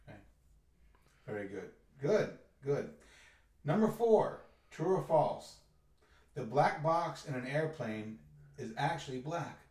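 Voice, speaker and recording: A man's voice: medium pitch, sing-song, slow, long pauses, roomy sound. A man co-hosting a podcast, quizzing his co-host in a jokey, teasing way. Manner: jokey, teasing, loud asides.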